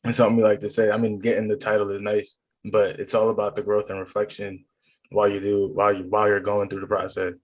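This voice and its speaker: A man's voice: on the low side, monotone, quick pace. A man hosting an education podcast, asking his guest questions in a friendly, loose, rambling way. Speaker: podcast host. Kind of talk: conversational podcast interview.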